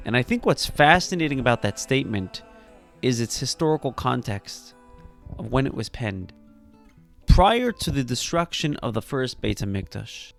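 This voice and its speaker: Rabbi, male, gravelly mid-pitched voice, earnest and emphatic teaching delivery, soft music bed. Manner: earnest, emphatic, builds to animated peaks. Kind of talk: sermon-style teaching.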